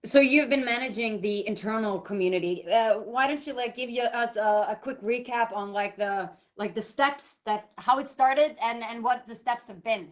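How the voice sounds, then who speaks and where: A woman's voice: fairly high pitch, moderate pace, hardly any pauses. A woman hosting a live-stream interview, asking questions with fillers and restarts. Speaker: host. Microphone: video call.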